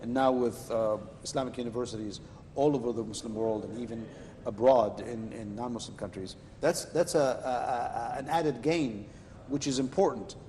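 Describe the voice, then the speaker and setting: A man hosting a live religious discussion panel, speaking in a measured way and slowing down to stress his points, with Arabic phrases mixed in. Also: mid-range voice, mellow, long reverb tail, a little hiss or hum.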